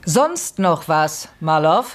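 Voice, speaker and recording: A woman's voice: low voice, big pitch swings, slow pace, in a large hall, heavily acted. An actress playing a guarded, commanding woman in a German radio play, curt, evasive and challenging.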